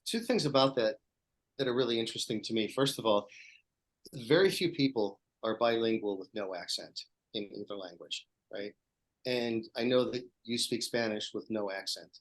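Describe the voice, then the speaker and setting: A man's voice: on the low side, normal speed, a bit bright, poor audio. A man who used to teach, a guest on a casual podcast, talking with enthusiasm. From Pittsburgh, Pennsylvania.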